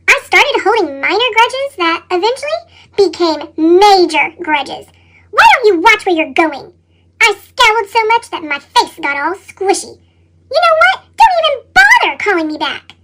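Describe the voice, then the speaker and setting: Chipmunk storyteller: extremely high, bright, pitch-shifted voice, expressive and dramatic read-aloud for a children's picture book. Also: exaggerated voice. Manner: expressive, dramatic reading with growls and exclamations. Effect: sped-up chipmunk pitch effect.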